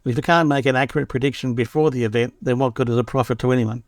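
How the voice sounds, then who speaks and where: A man's voice: mid-range voice, steady tone, quick pace, no room sound, studio quality. A man reading a magazine article aloud in a wry, opinionated way.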